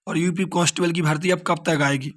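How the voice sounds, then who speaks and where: A man's voice: on the high side, fairly even intonation, quick pace, a bit bright. A man, an exam coaching teacher explaining details informally and repeating key points as questions and answers.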